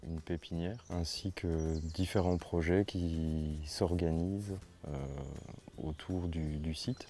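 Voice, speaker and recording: A man from Belgium with a very deep voice and a flat, steady melody. He explains things in an interview in measured, hesitant phrases. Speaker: farm project founder. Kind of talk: documentary interview, spontaneous speech.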